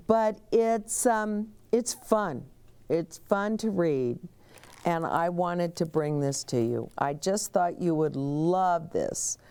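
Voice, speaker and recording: A woman's voice: mid-range voice, mellow, clear, resonant tone. A woman hosting a children's story time, reading aloud expressively with chatty asides, explanations and questions for young listeners.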